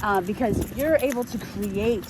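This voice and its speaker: A woman's voice: medium pitch, a little slow, noisy background. A woman in a casual philosophical chat, talking in a rambling way and often given to laughter.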